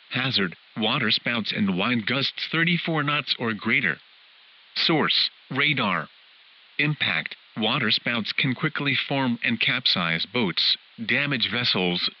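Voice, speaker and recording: A man's synthetic text-to-speech voice reading a scripted emergency weather bulletin in a formal, clipped way, as a radio alert announcer. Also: medium pitch. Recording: hissy, muffled weather radio broadcast.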